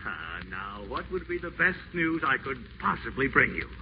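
A man's voice: mid-range voice, very animated, moderate pace. An actor playing a chuckling, fawning older small-town male doctor in a 1940s radio play, flustered and eager to please. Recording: muffled old radio broadcast, faint hiss.

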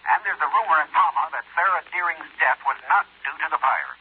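A man's voice: fairly high pitch, lively intonation, fairly quick, slightly rough. An actor playing a businesslike male insurance executive over the telephone in a 1950s radio drama. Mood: brisk, businesslike. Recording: tinny phone-like 1950s radio, faint noise.

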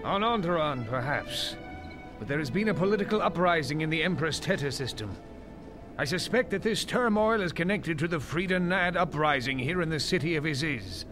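An actor playing a grave, wise Jedi master in a space-fantasy audio drama, solemn, measured and authoritative. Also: male voice, on the high side, lively intonation, over music, close to an everyday voice. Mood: concerned.

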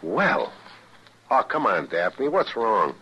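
A man's voice: on the low side, very animated, slow, bright, forceful tone, husky, exaggerated voice. A man playing a hapless, earnest husband in an old radio comedy sketch. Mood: puzzled, placating. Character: hapless, earnest suburban husband pleading innocence. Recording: dull vintage radio recording.

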